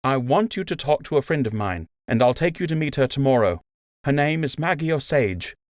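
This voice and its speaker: A man voicing a brisk, wry young female rabbit healer in an audiobook, reassuring and drily sarcastic. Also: medium pitch, expressive, dry recording, clean studio sound, voice pushed up, lightly acted. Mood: gentle.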